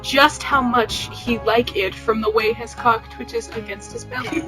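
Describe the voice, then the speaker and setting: A woman's voice: fairly high pitch, sing-song, a little slow, creaky, music in the background, low-quality recording. A woman podcast host reading fan fiction aloud and bantering casually, with a giggly, teasing manner.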